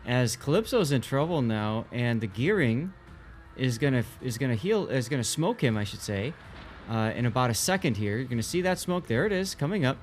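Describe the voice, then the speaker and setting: Casual male play-by-play caster, medium pitch. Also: very animated, fairly quick, soft background music. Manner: casual, colloquial. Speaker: esports co-commentator. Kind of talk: live play-by-play game commentary.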